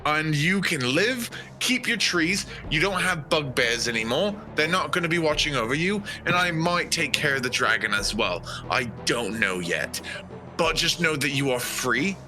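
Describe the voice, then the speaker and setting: A man playing a tabletop roleplaying game, narrating his character's moves and lines in a halting, think-aloud way. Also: a little high, lively intonation. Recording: music playing behind. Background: background music.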